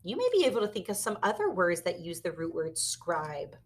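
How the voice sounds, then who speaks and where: A woman reading a children's story aloud expressively and pausing to explain words like a teacher. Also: fairly low pitch, very animated, husky. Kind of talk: animated children's read-aloud with word lessons.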